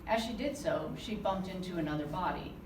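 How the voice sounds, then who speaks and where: A woman author reading aloud from her novel to a live audience, giving the dialogue a lively touch. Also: lowish voice, fairly quick. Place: conference room. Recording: roomy, distant with background noise.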